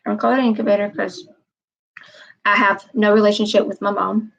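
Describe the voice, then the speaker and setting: A woman's voice: medium pitch, little pitch movement, moderate pace, gravelly, a bit bright, lo-fi sound. A woman in her twenties chatting casually on a live stream, wandering and repeating herself. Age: 20s. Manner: meandering, repeats herself, frequent "um". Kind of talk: rambling casual live chat.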